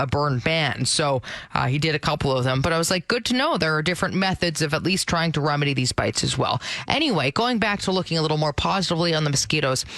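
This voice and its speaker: A woman with a raspy voice hosting a radio podcast, chatting casually and playfully with big exclamations. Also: low voice, a bit bright, dry recording. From Ontario, Canada. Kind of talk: casual chat. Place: radio studio.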